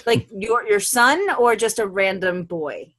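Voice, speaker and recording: A woman with a husky voice bantering casually on a comedy podcast in a dry, teasing way. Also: medium pitch, unhurried, fairly bright tone. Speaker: podcast panellist.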